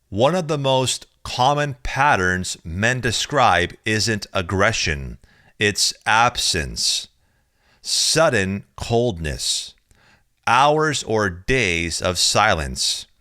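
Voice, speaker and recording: A man reading a chapter of his own book aloud in a slow, measured, deliberate way, recorded close on a studio mic. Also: fairly low pitch, sharp tone, dry recording. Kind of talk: audiobook reading.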